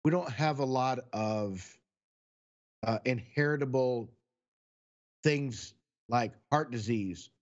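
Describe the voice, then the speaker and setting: A man's voice: mid-range voice, slow, pauses often, lo-fi sound. A man in his sixties chatting casually on a podcast, rambling through stories. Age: 60s. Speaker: podcast co-host.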